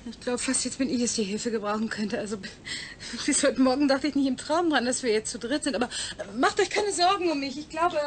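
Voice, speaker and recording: A woman's voice: high-pitched, a little fast, a little hiss or hum, close to an everyday voice. A woman acting in a German audio drama as an anxious, caring partner, hesitant and shaken yet analytical.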